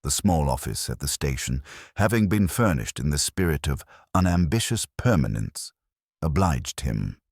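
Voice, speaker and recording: A man with an extremely deep voice narrates literary detective fiction. His delivery is formal, dry and measured, yet the intonation moves widely. The sound is close, with no room on it. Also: big pitch swings, slightly rough, dry recording. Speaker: audiobook narrator.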